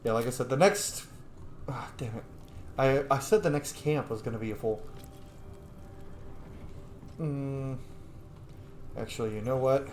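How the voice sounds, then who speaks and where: A man's voice: medium pitch, a little slow, long pauses. Joking gamer guy in echoey room. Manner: joking, slangy, sweary. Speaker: gaming streamer. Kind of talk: casual roleplay gameplay commentary. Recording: roomy echo, faint background noise.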